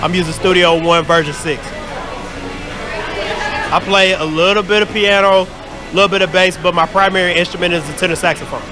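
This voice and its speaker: A man's voice: high-pitched, steady tone, fairly quick, long pauses, crowd noise. An American man, a music producer, answering interview questions about himself in a casual way. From St. Louis, United States.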